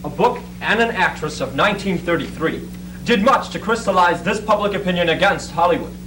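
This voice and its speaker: A young man narrates a readers theatre piece in a declamatory, theatrical style. His voice is exceptionally high for a man. The amateur stage recording is clearly noisy. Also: expressive, a little fast. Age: young adult.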